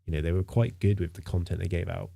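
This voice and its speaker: Guy with a head cold, husky and dark, chatting on stream, lots of ums. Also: low voice, fairly even intonation, quick pace. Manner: rambling, many fillers and repeats. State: nasal from a cold. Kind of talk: casual live-stream chat.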